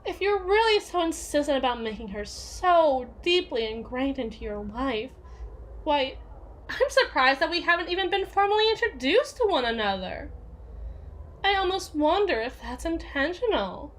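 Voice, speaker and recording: A woman's voice: high voice, very animated, normal speed, fairly bright tone. A woman playing a domineering, jealous yandere with biting sarcasm and a taunting, theatrical manner in an ASMR roleplay. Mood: mocking, sly. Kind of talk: ASMR roleplay audio drama.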